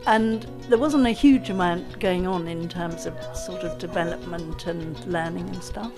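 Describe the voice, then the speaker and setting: An older woman speaks conversationally as an interviewee. Her voice is a little low, and plucked guitar music plays clearly underneath. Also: moderate pace. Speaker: retired volunteer being interviewed.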